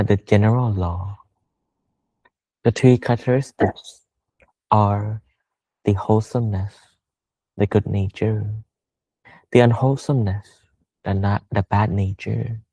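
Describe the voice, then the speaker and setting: A man leads a guided meditation in a measured, pausing delivery. His pitch barely moves from phrase to phrase. The sound is very dry and close, though a little dull and lo-fi. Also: warm tone, dry recording. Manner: slow, measured, pausing between short phrases. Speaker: Buddhist meditation teacher. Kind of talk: guided meditation talk with Buddhist chanting.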